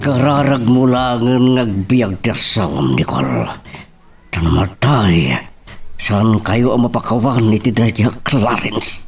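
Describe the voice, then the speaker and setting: A man's voice: lowish voice, expressive, slow pace, far from the mic, faint music behind. Man acting a stern, reproachful father, off-mic. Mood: grave, reproachful. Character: stern Filipino father scolding his grown daughter. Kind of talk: Ilocano audio drama acting.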